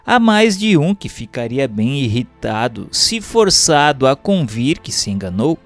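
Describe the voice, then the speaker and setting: A man with a Brazilian accent reading a book aloud as a formal, measured, didactic narration. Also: mid-range voice, very animated, faint music behind.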